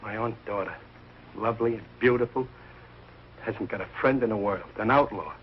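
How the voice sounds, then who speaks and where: A middle-aged man playing a blustering, soft-hearted working-class American father in a 1940s television sitcom, with blunt, slangy, ungrammatical speech. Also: on the low side, moderate pace, lightly acted. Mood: downcast, pleading. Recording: noisy, dull old television kinescope soundtrack.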